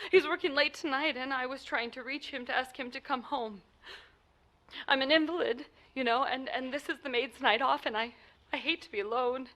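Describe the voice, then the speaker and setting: A woman's voice: sits high, normal speed, bright, forceful tone. A woman playing an anxious, imperious bedridden wife in a 1940s-style radio play, stammering and insistent in an agitated way. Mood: anxious, fretful.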